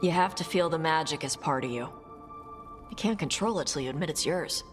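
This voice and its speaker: Brash fire-mage warrior, voiced by a woman: blunt, gruff delivery, low register. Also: fairly quick, faint music behind, natural voice. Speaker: party companion. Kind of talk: video game cutscene dialogue.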